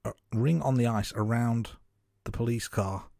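A man co-hosting a podcast, recapping film scenes in a steady, casual way with some fillers. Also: medium pitch, normal speed, gravelly, dry recording, clean studio sound. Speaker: podcast co-host. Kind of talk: casual film review chat.

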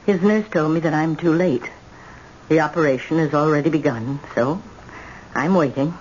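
Low-voiced proud old grandmother, played by an actress. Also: moderate pace, darkish tone, lightly acted. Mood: guarded, proud. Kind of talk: old-time radio drama acting. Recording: muffled, hissy old radio recording.

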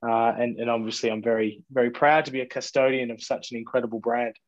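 A man's voice: mid-range voice, level tone, quick pace, dry recording, highs cut off. A man in his forties with an Australian accent, a bartender chatting as a podcast guest and often repeating his words. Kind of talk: casual podcast chat.

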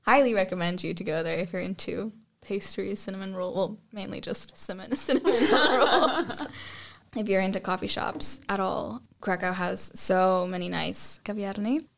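A woman's voice: mid-range voice, moderate pace, gravelly. Upbeat woman podcast co-host. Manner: enthusiastic, upbeat recommending. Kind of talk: casual group podcast chat.

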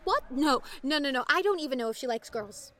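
Woman doing chatty teen soccer girl in audio drama, high bright voice, excitable and nosy in a caring way. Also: fairly quick, slight background noise. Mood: flustered. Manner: chatty, earnest, probing. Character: excitable, concerned teenage girl soccer player and friend. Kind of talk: audio drama acting, naturalistic dialogue.

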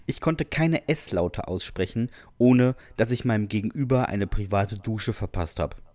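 A man's voice: on the low side, animated, measured pace, darkish tone, no room sound. A man co-hosting a podcast, reading out listener stories in an amused, informal way. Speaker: podcast co-host.

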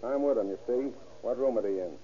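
An actor playing a brash small-time crook who talks in tough, clipped slang, in a 1930s radio drama. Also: male voice, medium pitch, fairly quick, warm tone, natural voice. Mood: casual, insistent. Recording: muffled, noisy old radio recording.

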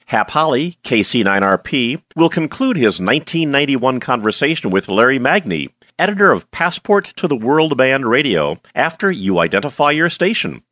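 A male podcast announcer reads a script with lively, swinging intonation. His medium-pitched voice sounds dry, with no room around it. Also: normal speed, no echo.

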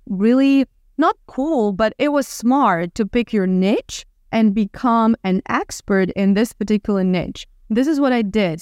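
A woman from Ukraine in her thirties with a Ukrainian accent, talking casually as a podcast guest with lively restarts and fillers. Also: mid-range voice, clean tone, no room sound, studio quality. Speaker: guest entrepreneur. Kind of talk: casual interview talk.

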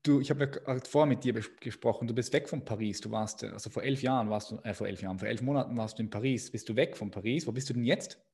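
A man's voice: medium pitch, quick pace, few pauses, a little mellow, husky. A man hosting a podcast, introducing his guest with an upbeat, emphatic delivery and long lists. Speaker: podcast host. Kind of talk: enthusiastic podcast introduction and interview.

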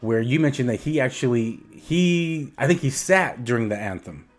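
A man's voice: medium pitch, lively intonation, normal speed, soft background music. Man musing aloud on a podcast. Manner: thinks aloud, repeats and restarts, reflective. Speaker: podcast co-host. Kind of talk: casual podcast chat.

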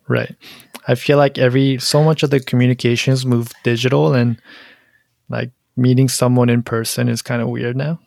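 A man's voice: mid-range voice, flat delivery, moderate pace, creaky, darkish tone, no room sound. A man hosting a podcast, interviewing a guest in an easygoing way and laughing along. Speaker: podcast host.

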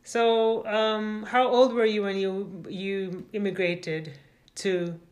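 A woman's voice: medium pitch, fairly even intonation, slow pace. A woman hosting a podcast and interviewing a guest, often rephrasing her questions and using fillers. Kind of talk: casual interview.

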